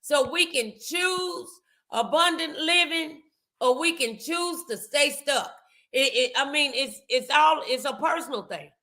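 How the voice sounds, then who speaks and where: A middle-aged woman with a high, bright and forceful voice hosts a motivational chat. She takes her time over her words and speaks in an affirming, casual way. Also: expressive, a little slow.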